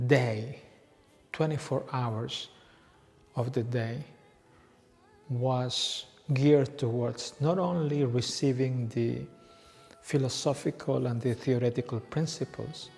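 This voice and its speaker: A man in his forties, originally from Peru, a yoga teacher telling his story in a slow, reflective way with frequent pauses. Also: medium pitch, vocal fry. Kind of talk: personal storytelling. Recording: reverberant room with soft background music.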